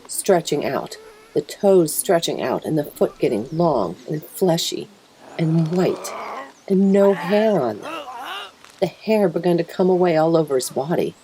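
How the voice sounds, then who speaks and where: A woman voicing an anguished, plainspoken mother wolf in a dramatic first-person story reading, grave and emotive, with a husky, breathy voice. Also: low voice, dark tone, slight background noise, poor audio. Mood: hushed dread. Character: mother wolf telling of her husband's horrifying change, anguished, plainspoken.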